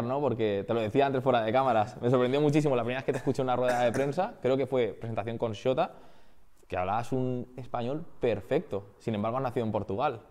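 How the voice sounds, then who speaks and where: Male podcast host, fast-paced, conversational questions. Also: mid-range voice, a little mellow. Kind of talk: interview questions.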